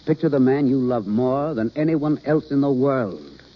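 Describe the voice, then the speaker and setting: A man's voice: medium pitch, animated, moderate pace, natural voice. An actor playing an earnest, reserved male drama coach, formal and hesitant, then fervent, in vintage radio drama style. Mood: earnest, instructive. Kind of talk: 1930s-40s radio drama acting. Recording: dull old radio broadcast recording.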